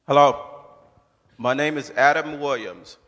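A man's voice: fairly high pitch, fairly even intonation, measured pace, a bit bright. A man from Mississippi giving a rehearsed spoken testimony on stage. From Shelby, Mississippi, USA. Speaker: speaker in a readers' theater presentation. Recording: echoing hall with long reverb.

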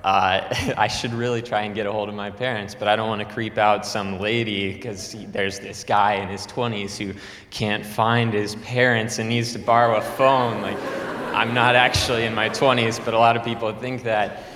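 A man's voice: on the low side, level tone, moderate pace, a bit bright, slight background noise. A young man from Nebraska, a student telling a true story to an audience in a chatty way, laughing often and using lots of fillers. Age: young adult. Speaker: student speaker. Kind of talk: storytelling speech. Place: lecture hall. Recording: echoey hall with long reverb.

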